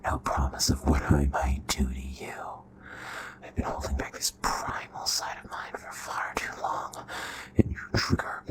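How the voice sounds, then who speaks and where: A man playing a charming, possessive royal vampire in an intimate ASMR roleplay, with a breathy, hushed, courtly and menacing delivery. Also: monotone, measured pace, poor audio. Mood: menacing, seductive. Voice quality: breathy whispering, very low voice.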